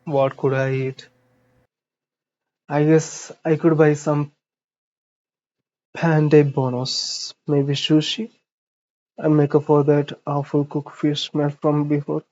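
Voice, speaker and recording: A streamer narrates a visual novel in a medium-pitched voice. The reading is slow, broken up by offhand remarks, and the sound is a little muffled. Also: flat delivery, a little mellow. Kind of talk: reading game narration aloud with casual asides.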